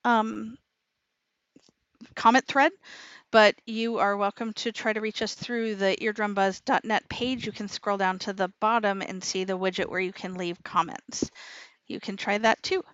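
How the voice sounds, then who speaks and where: A woman's voice: mid-range voice, steady tone, unhurried, a bit bright, clear, resonant tone, no room sound, lo-fi sound. A woman co-hosting a radio show in a cheerful, chatty manner. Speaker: radio co-host. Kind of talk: casual radio chat.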